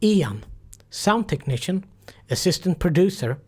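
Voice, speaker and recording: End-credits announcer, slow pace, mid-range pitch, scripted read. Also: very animated, darkish tone, breathy edge.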